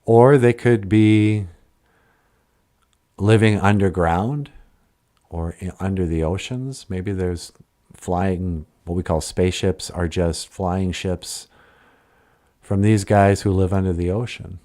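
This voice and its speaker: A man's voice: low voice, fairly even intonation, slow pace, long pauses, mellow. A man chatting on a livestream and answering his chat in a rambling way, often trailing off and correcting himself mid-sentence. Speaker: live streamer answering chat.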